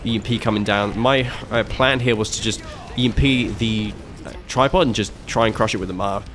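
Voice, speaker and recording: A young man giving casual, animated play-by-play commentary on a strategy match he played, with frequent fillers and restarts. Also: lowish voice, fairly quick, music in the background. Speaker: video game caster commentating his own match.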